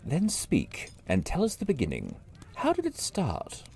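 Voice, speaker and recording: An actor playing a weary, sardonic afterlife judge in an audio drama, with a gravelly voice. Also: male voice, fairly high pitch, huge pitch swings, measured pace, background noise, full character voice. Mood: commanding. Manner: sardonic, commanding. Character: weary judging male afterlife tavern keeper, grim and sardonic.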